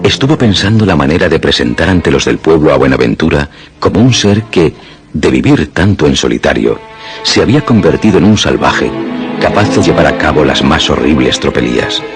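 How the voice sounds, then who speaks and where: A man's voice: rumbling low, big pitch swings, fairly quick. A man narrating a radio drama from a script in a dramatic style. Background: music.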